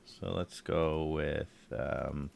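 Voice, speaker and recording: Low-voiced man, congested, streaming. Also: steady tone, extremely slow, creaky, a little mellow, slight background noise. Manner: chatty, thinks aloud while playing. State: congested, throat tickle. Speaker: game streamer. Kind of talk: casual live gameplay commentary.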